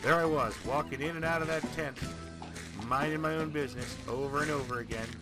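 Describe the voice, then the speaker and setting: A man's voice: on the high side, fairly quick. A man doing casual, rambling gameplay commentary as a let's play host, often cutting himself off. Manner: rambling, self-interrupting. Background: loud game music.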